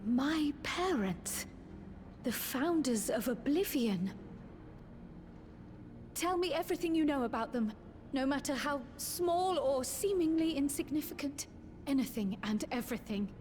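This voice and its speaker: A woman's voice: high voice, lively intonation, unhurried, pauses often, a little mellow, a little hiss or hum. An actress playing an earnest, scholarly young woman in a video game, eager and formal, with precise wording. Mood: stunned, urgent. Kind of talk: scripted video game dialogue.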